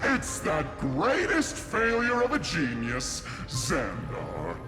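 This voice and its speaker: Wildly swooping, high-voiced mocking genius. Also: male voice, wildly swooping pitch, heavily acted. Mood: mocking glee. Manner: theatrical, taunting, drawn-out delivery. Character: mocking, theatrical eccentric genius, gleefully teasing. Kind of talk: video game voice acting. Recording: echoey hall reverb over music.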